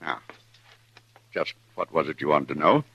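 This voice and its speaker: An actor playing a fussy small-town banker who speaks in a prim, nervous, overly formal way, in 1950s radio drama style. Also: male voice, very deep voice, moderate pace, husky, no room sound, heavily acted. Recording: dull, dry, lo-fi old radio recording.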